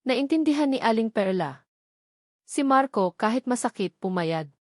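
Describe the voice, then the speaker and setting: A woman's voice: fairly high pitch, expressive, normal speed, no room sound. Filipino woman narrating, close and dry. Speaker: audiobook narrator. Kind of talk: scripted romantic story narration.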